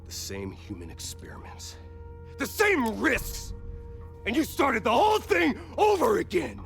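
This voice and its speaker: A rogue soldier coming unhinged in a game cutscene. His voice is creaky and raised high, swinging hard between menace and fury, slow and accusing. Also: huge pitch swings, fairly bright tone, soft background music, full character voice. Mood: furious. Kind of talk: dramatic video game acting.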